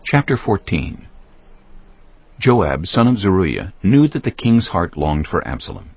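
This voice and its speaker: A man narrating scripture as a solemn, measured storyteller in a dramatized audio production. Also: low voice, sing-song, breathy edge, lo-fi sound.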